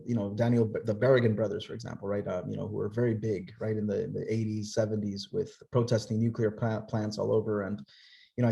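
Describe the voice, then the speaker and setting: A man's voice: lowish voice, vocal fry, mellow. A man from Nicaragua giving an academic talk and answering questions, earnest and conversational, often checking in with "right?". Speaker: guest speaker.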